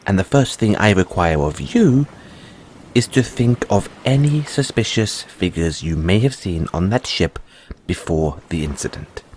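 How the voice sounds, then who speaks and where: Guy doing a proud merman prince for audio rp, formal n wary, deep-ish, really animated delivery. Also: a little hiss or hum. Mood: businesslike, courteous. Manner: formal, deliberate, measured phrasing with dramatic pauses. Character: proud, secretive merman prince, courteous but wary of humans. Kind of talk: scripted audio roleplay addressed to the listener.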